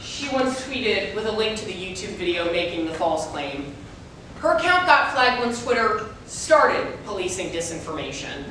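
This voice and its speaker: A woman's voice: sing-song, moderate pace, pushed and bright. A woman delivering scripted reader's theater narration in a theatrical, declamatory manner. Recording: echoey hall, distant mic, faint rumble.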